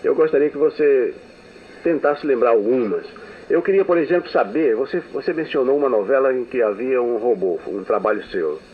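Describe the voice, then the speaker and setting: A man's voice: mid-range voice, expressive, moderate pace. A man interviewing on the radio, asking courteous, searching questions and repeating words as he gets them out. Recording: tinny archive recording with faint hiss.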